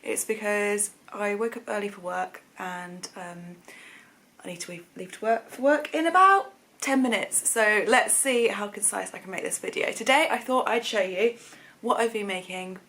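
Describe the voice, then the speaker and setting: A British woman with a slightly croaky voice, hosting a chatty sewing vlog in an informal, rambling way, often correcting herself. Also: mid-range voice, moderate pace, pushed and bright. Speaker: sewing vlogger, channel host. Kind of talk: casual chatty vlog to camera.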